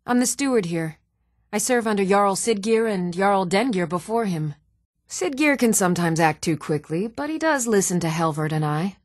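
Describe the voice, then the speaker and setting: An actress playing an earnest, dutiful woman of a fantasy realm in a video game, speaking in a measured, composed and formal way. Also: mid-range voice, expressive, no room sound, studio quality, close to an everyday voice.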